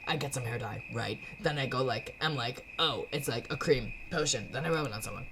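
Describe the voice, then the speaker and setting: A woman voicing a laid-back, slangy cat schemer who rambles eagerly in halting bursts, as in a game. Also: rumbling low, noisy background, pitched below the performer's own voice. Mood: pleased, scheming. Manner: halting, stoner-like slang delivery.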